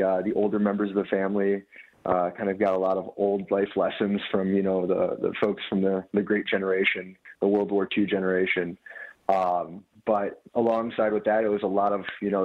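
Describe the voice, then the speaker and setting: Male guest, 30s, from Michigan, earnest and rambling, low voice, phone-line sound. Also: flat delivery, normal speed. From Dearborn, Michigan. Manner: earnest, rambling with many restarts. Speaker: guest, food business owner. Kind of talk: casual radio interview. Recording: tinny phone-line audio.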